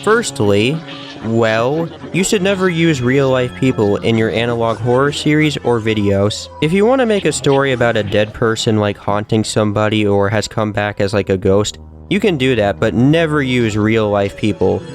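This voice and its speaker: A man's voice: medium pitch, lively intonation, moderate pace. A man giving casual opinion commentary in an earnest, emphatic way, often repeating points for stress. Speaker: video commentator. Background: background music.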